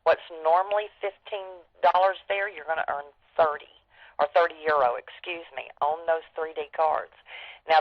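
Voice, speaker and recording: A woman's voice: on the low side, dry recording. Enthusiastic woman on a dull phone line. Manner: enthusiastic, emphatic. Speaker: network marketing leader giving updates. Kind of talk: informal sales team update. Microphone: telephone. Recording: dull, band-limited conference phone line.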